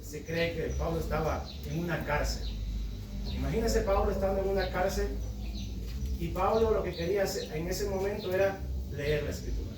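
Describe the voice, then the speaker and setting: A Honduran man in his thirties delivers an evangelical sermon with fervor, turning again and again to his congregation. His voice sits a bit high. The recording is distant and roomy, with steady background noise. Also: moderate pace. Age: 30s. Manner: fervent, calls out to the congregation with repeated asides. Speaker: lay preacher.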